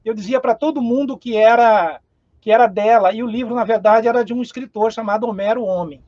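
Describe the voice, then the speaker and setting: Guy from southern brazil telling stories, all fired up, lots of restarts, pretty high voice, dead dry audio. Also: brisk, no echo, dull audio. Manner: excited, rambling with restarts. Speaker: guest writer. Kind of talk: casual storytelling chat.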